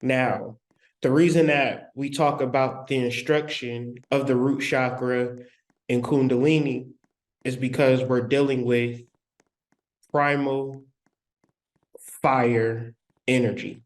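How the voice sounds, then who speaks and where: A man giving a spiritual lecture, speaking deliberately with long pauses and repeating key phrases for emphasis. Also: mid-range voice, little pitch movement. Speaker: spiritual teacher.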